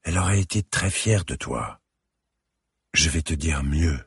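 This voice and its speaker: A man's voice: rumbling low, lively intonation, unhurried, a bit bright, breathy edge, no room sound, clean studio sound, full character voice. A man voicing a tender, plain-spoken father who admits he is no good with words, in an audiobook. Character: gentle, awkward father comforting his daughter.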